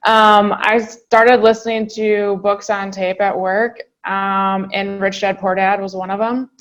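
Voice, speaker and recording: Woman guest on a podcast, quick chatty storytelling, kinda muffled dull audio. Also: mid-range voice, flat delivery. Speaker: guest real estate agent. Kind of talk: podcast interview.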